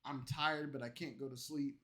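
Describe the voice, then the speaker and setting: A man streaming late at night, tipsy from alcohol and an energy drink, delivering a loud, profane, rambling rant at his chat. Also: medium pitch, fairly even intonation, normal speed, noisy background. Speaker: streamer reacting to clips.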